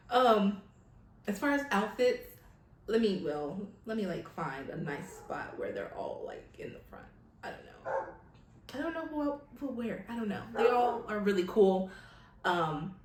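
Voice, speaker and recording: A woman hosting a casual reaction video, speaking in an effusive, giggly, gushing way as she comments. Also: medium pitch. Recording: roomy echo, music playing behind. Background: pop music from the video being watched.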